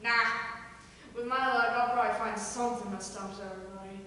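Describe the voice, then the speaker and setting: A woman's voice: medium pitch, steady tone, a little fast, fairly bright tone, a little hiss or hum, lightly acted. A woman reading the part of a nervous young male new hire in a table reading, unsure of himself. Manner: unsure and self-doubting. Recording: echoey hall, far from the mic.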